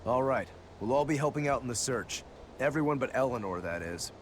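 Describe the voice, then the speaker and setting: Man as a wry game character, medium-pitched, dry, casual, teasing, slight background noise. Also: lively intonation, a little fast, lightly acted. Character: wry, unbothered non-human man. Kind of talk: video game voice acting.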